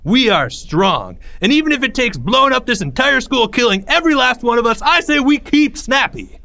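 Bombastic schoolboy speechmaker, played by a male reader: higher pitch, big swings, pompous and defiant, storybook delivery. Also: sing-song, slow pace, heavily acted. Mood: rousing, bombastic. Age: child. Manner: pompous, declamatory, forceful. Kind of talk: audiobook character voice.

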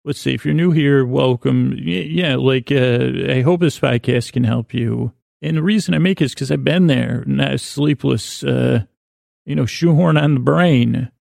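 A man with a creaky voice hosting a sleep podcast, mumbling and stumbling through a meandering, gently absurd bedtime monologue. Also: medium pitch, dry recording, clean studio sound. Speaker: podcast host. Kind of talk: rambling bedtime monologue to lull listeners to sleep.

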